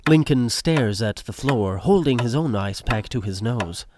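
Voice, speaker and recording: A man's voice: mid-range voice, animated, a little slow, noisy background. A man reading scripted narration for an audio drama. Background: music.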